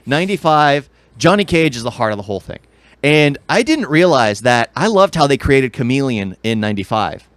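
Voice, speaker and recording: A man's voice: mid-range voice, big pitch swings, normal speed, a bit bright, no room sound. A man co-hosting a podcast, talking conversationally and informally about movies. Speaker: podcast co-host. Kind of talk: casual movie chat, conversational.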